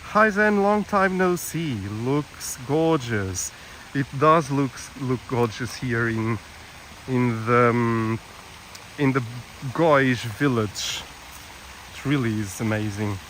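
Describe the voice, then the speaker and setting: A man's voice: mid-range voice, slow pace, fairly bright tone, poor audio. Portuguese man live-streaming over outdoor noise. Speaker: live-stream walking tour host. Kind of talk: casual live vlog chat. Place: outdoors, walking through a village. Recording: clear outdoor ambience and traffic noise.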